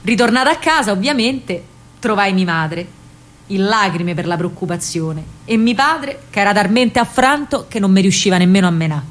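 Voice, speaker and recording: A woman with a Roman accent performing an expressive, colloquial first-person monologue as a young partisan courier girl in a radio drama. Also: mid-range voice, normal speed. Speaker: actress narrating her own monologue.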